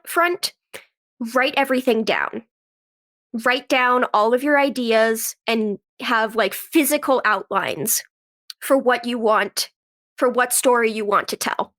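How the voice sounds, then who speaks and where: A woman's voice: fairly high pitch, takes it slowly, a bit bright. A woman speaking as an interview guest in a conversational, earnest and explanatory way. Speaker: podcast guest, actress and writer.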